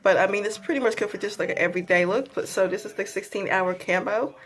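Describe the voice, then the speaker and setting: A woman's voice: mid-range voice, fast talker, fairly bright tone. A woman with a sore throat and a stuffy voice, chatting casually through a makeup tutorial with many ums and likes. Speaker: beauty vlogger.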